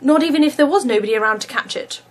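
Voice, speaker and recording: A woman with a husky voice reviewing a book and reading excerpts from it aloud. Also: sits high, racing.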